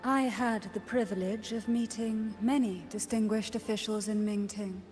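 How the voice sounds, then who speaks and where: An actress playing a courteous, well-connected woman of rank in a video game, with formal, deliberate delivery. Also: mid-range voice, fairly even intonation, a little mellow, lightly acted. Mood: poised, knowing. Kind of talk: scripted video game dialogue. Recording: long reverb, music underneath. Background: game music.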